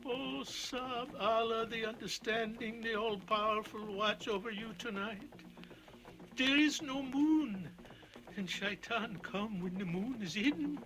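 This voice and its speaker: An actor playing a devout, anxious servant, imploring and loyal, in a radio drama. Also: male voice, extremely high pitch, a little slow, bright, forceful tone, heavily acted, poor audio. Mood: anxious pleading. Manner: imploring, reverent. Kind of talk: 1980 radio drama acting.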